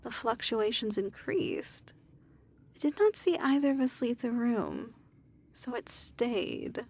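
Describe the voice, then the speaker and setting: A woman playing a weary, imperious demon lord in an ASMR fantasy roleplay, speaking formally and softly with measured diction. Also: on the high side, animated, vocal fry, exaggerated voice. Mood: guarded, softer.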